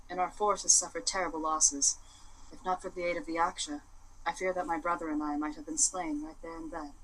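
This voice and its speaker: A woman's voice: on the low side, fairly bright tone, a little hiss or hum, poor audio. A woman co-streaming a game, playful, chatting and reading game text aloud.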